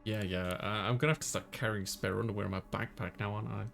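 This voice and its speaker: Creaky-voiced man reading a game story. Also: on the low side, steady tone, fast talker, a bit bright. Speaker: let's player reading a visual novel aloud. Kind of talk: narrating a visual novel in first person, casual playthrough.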